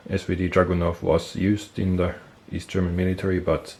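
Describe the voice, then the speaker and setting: Low gravelly man with a stuffy nose. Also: brisk. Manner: relaxed, thinking aloud with fillers and self-corrections. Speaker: military gear collector and video host. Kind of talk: casual show-and-tell explanation.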